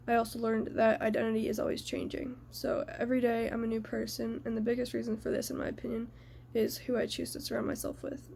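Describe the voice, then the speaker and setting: A young woman from the United States giving a prepared personal reflection in a measured, earnest way. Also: a little high, monotone, creaky, a little mellow, slight background noise.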